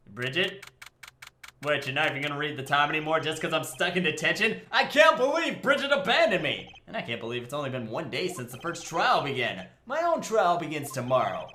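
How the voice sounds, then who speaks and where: A woman playing a wisecracking young male lawyer in a comedic game dub. The voice sits low and swings widely in pitch, sarcastic and theatrical, with a roomy sound. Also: a bit bright, a little hiss or hum. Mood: wry, complaining. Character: young male defense lawyer, cocky, wisecracking, easily flustered.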